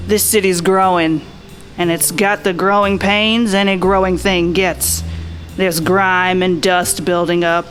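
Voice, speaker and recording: A woman's voice: medium pitch, a bit bright, close to an everyday voice. A woman playing a world-weary, wry 1940s private detective, narrating in a folksy, slangy noir radio style. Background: music.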